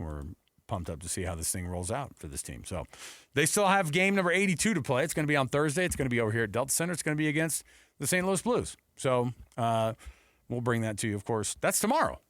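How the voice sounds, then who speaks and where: A man's voice: mid-range voice, fairly bright tone, no room sound. An American man hosting a sports radio show, talking casually and conversationally into a close studio mic. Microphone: close studio broadcast microphone.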